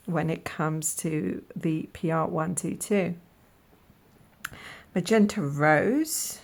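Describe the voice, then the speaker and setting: A woman with a croaky voice from a cold, slowly musing aloud in a soft-spoken way as she tries out paints, sometimes dropping to a whisper. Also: on the low side. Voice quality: croaky, gravelly. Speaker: art vlogger. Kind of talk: casual voice-over while swatching paints.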